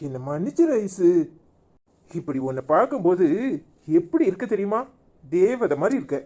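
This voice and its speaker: A woman's voice: fairly low pitch, big pitch swings, moderate pace, dark tone. A woman voicing a doting, exasperated village husband in a cartoon comedy, with a coaxing, colloquial Kanyakumari Tamil accent.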